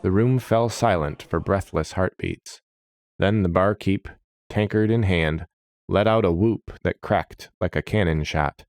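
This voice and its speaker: A male storyteller with a low voice narrates a fantasy tale dramatically. The sound is close and dry. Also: unhurried, a little mellow, no echo.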